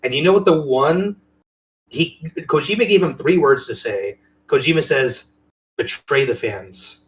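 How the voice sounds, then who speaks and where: A man's voice: fairly high pitch, a little slow, rough recording. A man hosting a casual podcast chat about games and movies, with a playful, jokey way of talking. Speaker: podcast host.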